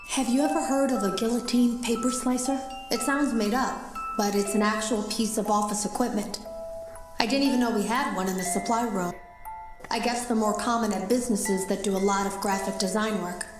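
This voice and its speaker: A woman's voice: mid-range voice, fairly even intonation, fairly quick, lightly acted. A woman playing an anxious game developer recording secret audio logs for a horror game, earnest, uneasy and confiding. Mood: uneasy recollection. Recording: roomy echo, music behind.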